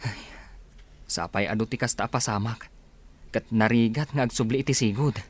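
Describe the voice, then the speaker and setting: A mid-pitched male voice of an actor in Ilocano radio drama. He is a good-natured, helpful older brother of humble working stock. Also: normal speed, lightly acted. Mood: concerned.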